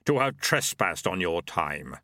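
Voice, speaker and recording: Guy doing death for an audiobook, low n really slow, solemn, formal, bossy horseman. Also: very animated, pushed and bright, no room sound, clean studio sound, exaggerated voice. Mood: solemn, insistent.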